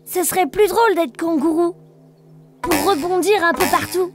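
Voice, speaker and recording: A woman's voice: extremely high pitch, normal speed, over music. A woman voicing a cheerful, playful young bear cub with a simple sing-song delivery in a children's cartoon. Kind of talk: children's cartoon nursery rhymes.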